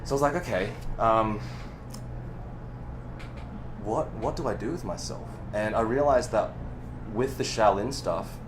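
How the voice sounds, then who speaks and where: A man's voice: lowish voice, background noise. A man, a movement coach whose first language is Cantonese, talking animatedly in a casual podcast interview, with frequent restarts and the odd swear word.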